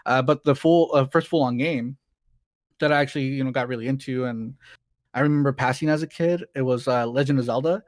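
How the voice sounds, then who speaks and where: A man's voice: medium pitch, a little fast, dry recording, studio quality. A man in his late twenties, a streamer, chatting casually as an interview guest, rambling a bit and laughing easily. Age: 20s.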